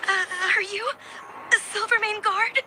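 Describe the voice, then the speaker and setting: A woman's voice: very high voice, steady tone, measured pace. An actress playing an anxious, polite young civilian woman in a video game, hesitant and earnest. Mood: worried, pleading. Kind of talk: English game dub dialogue. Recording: clearly noisy, game ambience behind.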